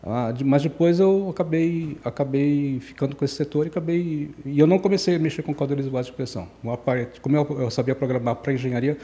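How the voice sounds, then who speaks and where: A man's voice: a little high, fast talker, few pauses, mellow. A man in his sixties, raised in Brazil, telling his life story as a podcast guest, rambling and correcting himself as he goes. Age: 60s. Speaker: guest engineer. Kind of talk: casual podcast interview.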